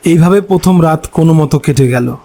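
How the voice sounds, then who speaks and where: A man narrating a scripted horror story in a dramatic, suspenseful way, pausing between short phrases. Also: a little high, warm tone. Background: background music.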